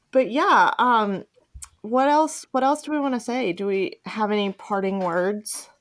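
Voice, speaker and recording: A woman's voice: on the high side, slow, pauses often. A woman hosting a podcast, chatting casually and enthusiastically about fan fiction, swearing freely. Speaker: podcast host.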